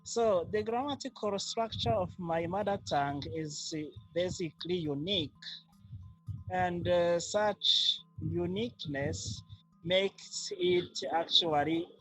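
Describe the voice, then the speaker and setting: Rwandan-accented man, Kinyarwanda native, unhurried and measured delivery over soft music. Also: high-pitched, breathy edge, poor audio. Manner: slow, measured, with frequent fillers. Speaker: interviewee. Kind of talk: casual interview.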